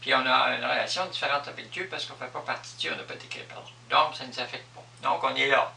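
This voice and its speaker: An echoey recording of a man speaking a little away from the microphone. His voice is very bright and sharp, with a Quebec French accent. He explains things off the cuff, emphatically and with a lot of repetition. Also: mid-range voice, steady tone, fast talker, vocal fry, a little hiss or hum. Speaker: vlogger explaining personal spiritual beliefs. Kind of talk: improvised explanatory monologue. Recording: echoey room, distant microphone.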